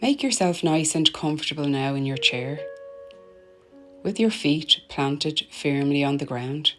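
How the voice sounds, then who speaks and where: A woman narrating a guided meditation in a soothing, gentle, deliberate manner, letting her phrases end softly. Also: sits low, lively intonation. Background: meditation music.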